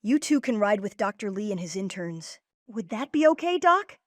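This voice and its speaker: A woman's voice: medium pitch, big pitch swings, moderate pace, no echo, clean studio sound, natural voice. A woman voicing an earnest child leader who takes charge, in a cartoon-style comic dub. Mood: organizing. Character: earnest kid leader, Max, organizing friends. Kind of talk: comic dub character acting.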